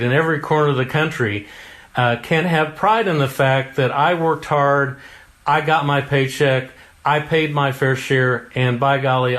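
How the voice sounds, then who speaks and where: A man's voice: on the high side, a bit bright. Folksy man, a candidate answering questions. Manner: folksy, homespun phrases. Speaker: congressional candidate. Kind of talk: political interview answers.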